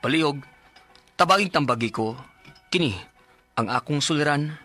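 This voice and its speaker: A man's voice: fairly high pitch, lively intonation, normal speed, sharp tone. Man reading a letter dramatically over music. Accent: Cebuano. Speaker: letter reader on radio advice show.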